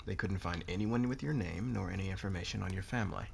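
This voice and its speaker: A man playing a demanding, calculating corporate boss in a scripted ASMR roleplay, with a sardonic, deliberate, commanding manner. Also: deep voice, gravelly, a little hiss or hum. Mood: cool, interrogating.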